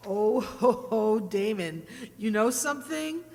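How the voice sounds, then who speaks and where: A woman's voice: mid-range voice, animated, slow pace, gravelly, a little mellow. A woman playing a breezy, wisecracking wife in a comic radio play, with a playful, teasing manner. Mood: smug, pleased. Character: breezy, wisecracking wife posing for her portrait. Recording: reverberant hall stage recording.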